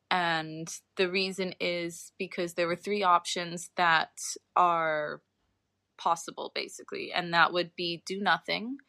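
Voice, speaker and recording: Young female researcher as podcast guest: lower-pitched, bright forward voice, informal and explanatory, close dry sound. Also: no room sound. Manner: informal, explanatory, self-qualifying. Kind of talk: casual interview talk explaining research.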